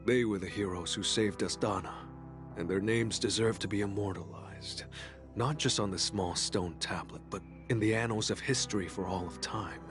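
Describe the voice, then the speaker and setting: A man acting a low, solemn, world-weary man. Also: music in the background, close to an everyday voice. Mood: solemn, wistful. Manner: solemn, measured storytelling. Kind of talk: video game voice acting.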